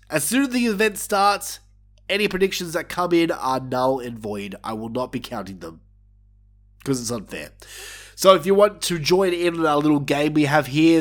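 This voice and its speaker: A man hosting his own show in a casual, rambling chat, with a nasal, blocked-nose voice from allergies. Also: a little high. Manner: rambling, with fillers and restarts. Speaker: podcast host.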